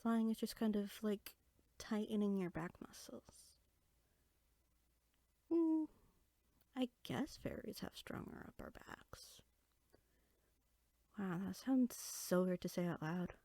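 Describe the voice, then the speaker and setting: A woman playing a small, bookish, friendly fairy in a roleplay audio drama, speaking in a chatty, expressive, conversational way. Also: mid-range voice, takes it slowly, long pauses, no echo. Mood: amused, puzzled.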